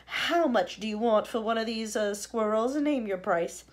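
A pompous, wealthy father speaks with self-important confidence, read out by a woman in a storybook. Her voice keeps a slightly husky grain. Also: fairly high pitch, moderate pace, natural voice.

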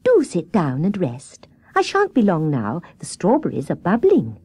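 A woman voicing a kindly little female rabbit in a children's story, with a gentle, gushing manner. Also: on the low side, wildly swooping pitch, unhurried, husky, dry recording, heavily acted. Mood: delighted.

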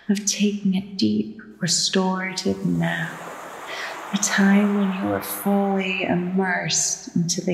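A woman narrating a guided sleep meditation in a soothing, hushed and deliberate manner. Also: on the low side, creaky. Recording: echoey long reverb with music behind. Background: relaxation music with ocean waves.

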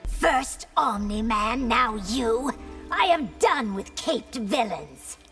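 The defiant princess-warrior of a fighting game, stern and unhurried as she faces down a foe at court. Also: a little high, lively intonation, a bit bright, soft background music. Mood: scornful. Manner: formal, scornful, measured. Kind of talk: video game fight taunts.

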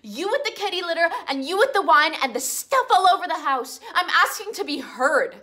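A woman's voice: extremely high pitch, very animated, fairly quick, pushed and bright, exaggerated voice. A young actress performing an emotional monologue as a hurt young woman lashing out at the people she lives with. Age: young adult. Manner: emotional, accusing, pleading. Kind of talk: dramatic acting monologue.

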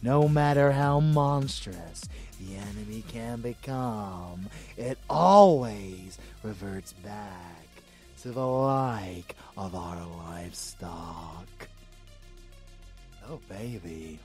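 Sadistic vampire boyfriend for asmr roleplay, super slow theatrical delivery, music behind him. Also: male voice, lowish voice, lively intonation, a little mellow. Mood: adoring, intimate. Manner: theatrical, drawn-out, adoring and menacing. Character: rebellious murderous male vampire lover, gleefully sadistic and possessive. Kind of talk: ASMR roleplay audio drama.

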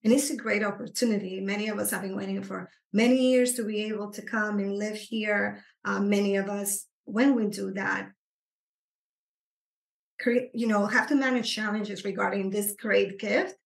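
Gravelly woman in an echoey room. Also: mid-range voice, normal speed. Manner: earnest, explanatory, animated when stressing points. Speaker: psychotherapist guest. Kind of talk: conversational interview.

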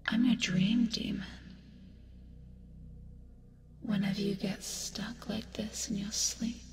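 A woman playing a seductive, teasing sleep demon in an ASMR roleplay, breathy and often whispering, creepy yet soothing as she coaxes. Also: mid-range voice, little pitch movement, background noise. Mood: coaxing, teasing. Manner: slow, taunting, coaxing with pet names. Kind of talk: ASMR roleplay, hypnotic bedtime delivery. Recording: long reverb, music behind. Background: music.

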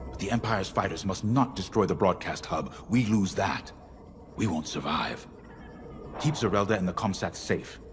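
Grave, principled fleet commander for a sci-fi game: very low, deliberate, solemn, over soft music. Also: male voice, lively intonation. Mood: grave, urgent. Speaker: military commander. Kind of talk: video game cutscene acting.

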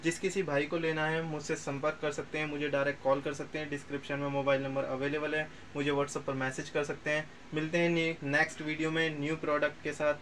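Male seller, slightly high voice, casual step-by-step demo with repetition, amateur indoor recording with background noise. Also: level tone, fast, hardly any pauses, fairly bright tone. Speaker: seller presenting second-hand audio equipment. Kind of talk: casual product demonstration.

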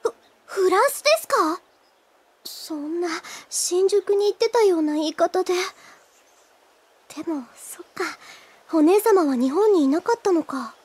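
A woman's voice: very high voice, a little slow, pauses often, dry recording. A woman playing a shy, earnest, easily flustered teenage schoolgirl in an anime audio drama. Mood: wistful. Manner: flustered, hesitant, girlish.

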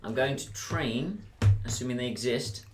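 A man's voice: medium pitch, moderate pace, music in the background. A man playing a tabletop game, chatting casually with dry, matter-of-fact jokes. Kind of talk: casual chat. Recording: echoey room, table knocks and clicks.

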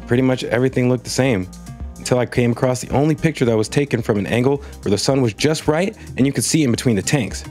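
A mid-pitched man narrates a scripted space news video over faint background music. He tells it as a story, dry and wry, with comic asides. The voice-over is clean and studio-made. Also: quick pace, darkish tone.

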